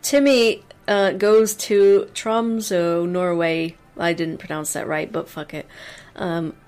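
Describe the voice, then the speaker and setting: A woman's voice: on the low side, steady tone, slow, a little mellow, soft background music. A woman in her thirties from the United States, co-hosting a casual game stream with blunt, sarcastic, sweary chat at a desk mic. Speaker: co-host. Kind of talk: casual let's-play chat.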